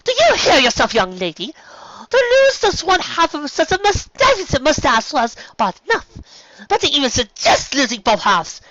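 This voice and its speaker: A woman's voice: sits high, huge pitch swings, normal speed, a bit bright, slight background noise, voice pushed up, exaggerated voice. A woman voicing a refined young mare, courteous and dramatic, with genteel, formal phrasing in a fan story reading. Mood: aghast. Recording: slightly dull, lo-fi recording.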